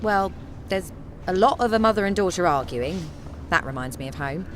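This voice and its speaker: An actress playing a brisk, decisive female theatre director in a radio drama. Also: lowish voice, lively intonation, slight background noise, lightly acted. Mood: earnest, persuasive. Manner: commanding, decisive.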